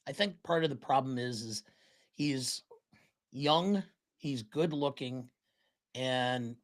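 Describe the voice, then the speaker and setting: Podcast co-host, slow and halting, fills pauses with ums and uhs. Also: medium pitch. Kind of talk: casual chat.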